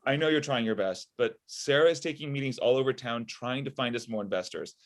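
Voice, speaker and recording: A man's voice: medium pitch, fairly quick, lightly acted. A man in his thirties playing a sensible, exasperated boss trying to manage a robot in a comedy table read. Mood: weary, earnest. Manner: earnest, exasperated. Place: indoor room at home, video call. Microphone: video call.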